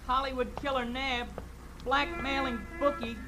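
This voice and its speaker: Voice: high-pitched, little pitch movement, normal speed, music in the background, lightly acted, poor audio. A performer acting a young newspaper boy chanting headlines as a street cry in a 1940s radio drama. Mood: hawking.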